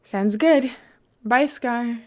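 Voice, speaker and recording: A woman's voice: on the high side, very slow, pitched above the performer's own voice. Woman acting young college guy, exasperated, dry, teasing, story character. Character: wry, exasperated young male computer science student.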